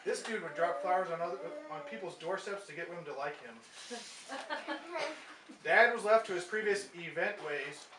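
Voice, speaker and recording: A woman's voice: lowish voice, normal speed, crowd noise. An American woman reading a scripted family story aloud as a puppet show narrator. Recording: echoey, very noisy room recorded from far off.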